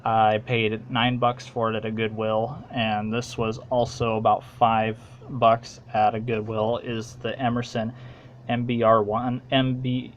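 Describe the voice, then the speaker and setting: A man with an American accent giving a casual, halting, plain-spoken show-and-tell as a hobbyist making a home video. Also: medium pitch, slight background noise.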